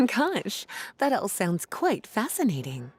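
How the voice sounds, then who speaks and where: A woman's voice: medium pitch, wildly swooping pitch, slow, husky, full character voice. An actress playing a languid, teasing, nurturing mentor woman in a video game, with a coy drawl. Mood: amused. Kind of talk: video game cutscene acting.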